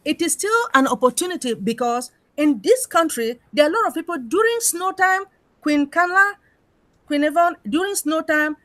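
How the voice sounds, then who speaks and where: A woman's voice: high voice, bright, forceful tone, no room sound. A middle-aged woman with an African accent, speaking emphatically in an informal discussion and putting rhetorical questions. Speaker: panel member in an open discussion.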